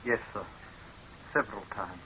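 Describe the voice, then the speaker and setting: Bright, earnest young scientist. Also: male voice, fairly low pitch, moderate pace. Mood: guarded. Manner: clipped, formal, deferential. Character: earnest, deferential young scientist, doctor on a dive. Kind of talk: 1930s-40s radio horror acting. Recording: hissy, muffled old radio recording.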